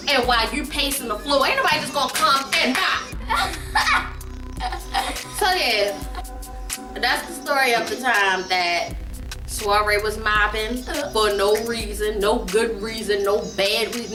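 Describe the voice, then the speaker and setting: A high, very lively woman's voice tells a casual, comic story with exaggerated emphasis. She repeats phrases as she goes, recorded in a roomy space away from the mic with music playing behind her. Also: distant mic. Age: adult. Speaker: vlogger telling a family story. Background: background music.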